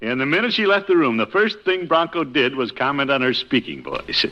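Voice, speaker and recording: Actor as swooping, jovial, flustered radio-comedy uncle. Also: male voice, mid-range voice, big pitch swings, brisk, lightly acted. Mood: pleased, oblivious. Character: jovial, self-satisfied middle-aged uncle, easily flustered. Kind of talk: 1950s radio situation comedy acting. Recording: muffled, noisy 1950s radio recording.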